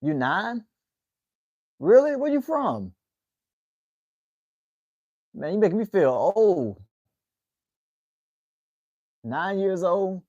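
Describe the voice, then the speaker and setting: A man's voice: high-pitched, sing-song, very slow, pauses often, darkish tone, no room sound, lo-fi sound. A man in his twenties chatting casually with viewers on a livestream, in a laid-back way, tacking "man" onto the ends of his phrases. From United States. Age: 20s. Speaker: livestream host.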